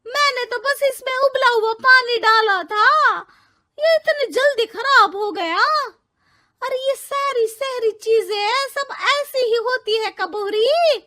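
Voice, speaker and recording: A rustic older mother-in-law from a cartoon moral tale. Her voice is extremely high and piercingly bright, and she speaks unhurriedly. She is suspicious and grumbling, full of exclamations and sarcasm. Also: slow, heavily acted. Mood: alarmed, complaining. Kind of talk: animated moral-story cartoon voice acting.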